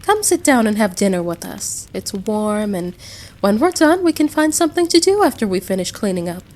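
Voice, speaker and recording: A woman playing a caring, motherly American wife in a roleplay audio drama, gentle and reassuring, full of endearments. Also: mid-range voice, big pitch swings, moderate pace, close to an everyday voice. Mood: welcoming, concerned.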